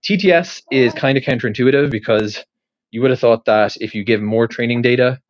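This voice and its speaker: A man's voice: medium pitch, brisk, no echo, muffled recording. A man with an Irish accent, talking through a question in a loose, rambling way, full of ums and restarts. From Ireland. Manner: rambling, thinking aloud with many fillers and restarts. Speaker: podcast host posing a question. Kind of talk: casual spoken monologue.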